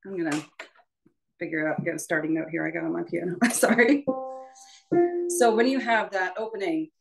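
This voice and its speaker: A woman speaks as a choral teacher guiding a workshop. Her voice sits a little lower than average for a woman. She explains things in an informal, conversational way and regularly checks that listeners are following. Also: steady tone, normal speed, gravelly, over loud music, rough recording.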